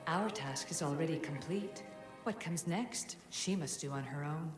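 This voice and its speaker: Ancient oracle-like spirit, low-set female voice in long reverb, solemn and commanding, formal video game delivery. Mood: solemn, cryptic. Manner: oracular, measured, formal. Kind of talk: dramatic game cutscene acting. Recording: echoing long reverb, music behind.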